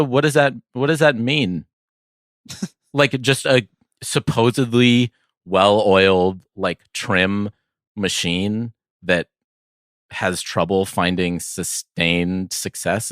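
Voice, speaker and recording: A man's voice: lowish voice, slow, a bit bright, dry recording, studio quality. A man co-hosting a casual sports podcast in a wry, conversational way. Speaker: podcast co-host.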